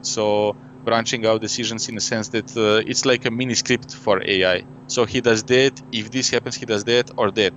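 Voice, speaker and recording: Low-voiced man explaining his board game. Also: steady tone, moderate pace, few pauses, fairly bright tone. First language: French. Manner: explains at length, with many fillers and "you know". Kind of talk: casual interview conversation. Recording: dull lo-fi audio with faint noise.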